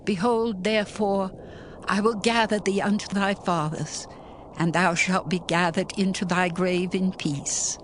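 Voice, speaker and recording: A woman's voice: mid-range voice, fairly even intonation, slight background noise, close to an everyday voice. A woman playing a stern prophetess in a dramatized scripture reading, solemnly declaiming divine judgment. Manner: deliberate, oracular, declamatory.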